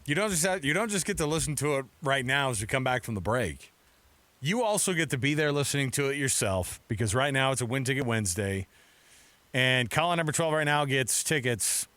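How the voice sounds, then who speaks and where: A man's voice: mid-range voice, lively intonation, fairly quick, sharp tone, no echo. A middle-aged American man hosting sports radio in a casual, chatty, rambling way from a studio mic. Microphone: close studio microphone.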